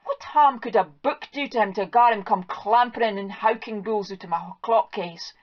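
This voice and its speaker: A woman voicing a fussy, excitable old farm wife in a Scots accent, shrill and flustered as she scolds. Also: moderate pace, lightly acted. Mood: fretful scolding. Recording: dull lo-fi recording.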